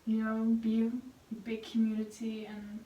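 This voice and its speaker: Chatty young Dutch woman, slightly high. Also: monotone, measured pace, warm tone, echoey room, distant mic, highs cut off, slight background noise. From Netherlands. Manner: chatty and rambling, with fillers and self-corrections. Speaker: vlogger. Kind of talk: casual chat while painting.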